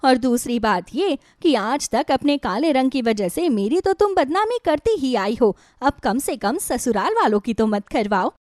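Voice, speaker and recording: A woman's voice: high voice, big pitch swings, measured pace, few pauses, a little mellow, no echo, studio quality. A woman voicing a haughty, cruel young elder sister-in-law in a moral storybook, bossy, taunting and scornful. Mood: haughty, commanding. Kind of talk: dramatized Hindi moral storybook.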